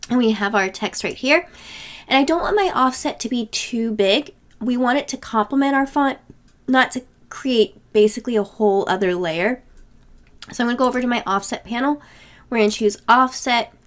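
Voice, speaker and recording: A woman in her thirties, a craft tutorial host getting over a cold, explaining software steps in a casual, step-by-step way at her desk. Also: fairly high pitch, normal speed. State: voice worn from a cold. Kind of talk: screen-recorded software tutorial.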